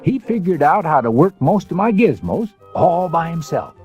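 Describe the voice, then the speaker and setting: An exuberant middle-aged dad and gadget inventor in a storybook dramatization. He has a folksy salesman's eagerness, a fairly high voice and hugely swooping intonation. There is light music underneath. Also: big pitch swings, normal speed, darkish tone, lo-fi sound. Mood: excited, cheerful.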